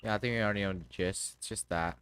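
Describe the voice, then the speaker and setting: A man's voice: on the low side, fast talker, a bit bright, clear, resonant tone, dry recording. A man livestreaming a game with casual, slangy, offhand commentary.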